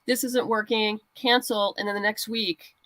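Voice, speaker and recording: A woman's voice: mid-range voice, steady tone, moderate pace, a bit bright, no echo. Woman guest chatting casually, close dry sound. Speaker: guest writer and comic creator. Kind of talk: casual interview chat about crowdfunding.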